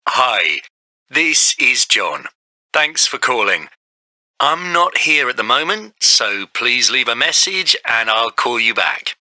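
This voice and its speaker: A friendly male office worker's voicemail greeting. His voice is very bright, with lively intonation. He speaks in measured, clear phrases for a scripted listening exercise. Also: mid-range voice, no echo, exaggerated voice.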